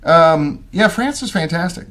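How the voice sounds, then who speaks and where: A man's voice: a little high, animated, measured pace, a bit bright. A middle-aged man from Missouri, hosting a casual livestream from home and answering viewer comments in a rambling way, with lots of ums and restarts. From Missouri, USA. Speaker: livestream host.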